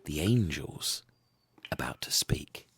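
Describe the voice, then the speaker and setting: A man playing a gruff, deadpan member of a bickering troupe in a comic audio drama, with a husky voice. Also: very deep voice, takes it slowly, over music, heavily acted. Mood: dry.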